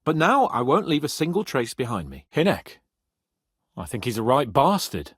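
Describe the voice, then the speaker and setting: A man's voice: medium pitch, huge pitch swings, moderate pace, a bit bright, breathy edge, no echo, studio quality, heavily acted. A man playing a cocky, haughty young medieval nobleman in a video game, sardonic, emphatic and brash. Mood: wry, frank. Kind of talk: video game character dialogue.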